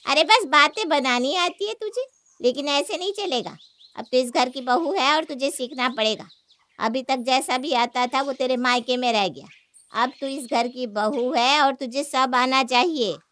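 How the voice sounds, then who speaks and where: A woman's voice: sits high, a little slow, a bit bright. A woman playing a scolding, exasperated mother-in-law in a cartoon family story, nagging and sarcastic. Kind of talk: Hindi cartoon story acting.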